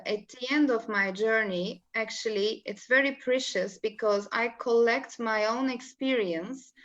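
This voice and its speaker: A woman's voice: medium pitch, fairly bright tone. Turkish-accented woman on dull call audio. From Istanbul, Turkey. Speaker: spiritual coach, interview guest. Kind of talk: explaining in a live online interview. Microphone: video call.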